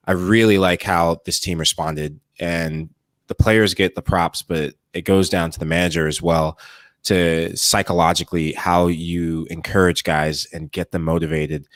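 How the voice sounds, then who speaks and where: Very deep-voiced enthusiastic Midwestern man. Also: no echo, clean studio sound. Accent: American Midwest. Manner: enthusiastic, emphatic. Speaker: co-host, former player. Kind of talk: casual sports talk.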